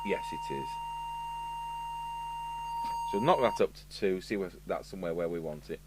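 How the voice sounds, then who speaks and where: A man's voice: low-pitched, expressive, normal speed. A British man giving casual, matter-of-fact hands-on commentary as a repair technician, thinking aloud while he works. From United Kingdom. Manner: matter-of-fact, musing, talks to himself while working. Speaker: radio repair technician presenting a review. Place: indoor electronics workshop. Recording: clear background noise with electrical hum.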